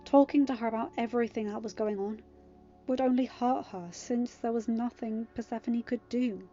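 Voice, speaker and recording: A woman's voice: fairly high pitch, steady tone, normal speed, warm tone, lo-fi sound, faint music behind. A woman narrating a scripted piece of fiction as an audiobook reader.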